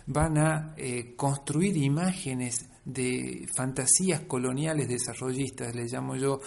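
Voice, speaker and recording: A man's voice: medium pitch, measured pace, a little mellow. A man with an Argentine Spanish accent explains things in a radio interview, emphatically and discursively, often checking in with short tag questions. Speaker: interviewed academic researcher and author. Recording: clear background noise.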